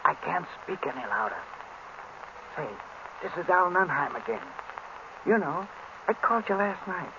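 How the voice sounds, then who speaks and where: A shady informant in a vintage radio play, hushed and urgent. The voice is gravelly and fairly high, jumping about in pitch, under clear noise on an old recording. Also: male voice, big pitch swings, moderate pace, pauses often, dull audio. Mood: furtive, urgent. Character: furtive male informant whispering a tip over the telephone. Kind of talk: 1930s-40s radio drama acting.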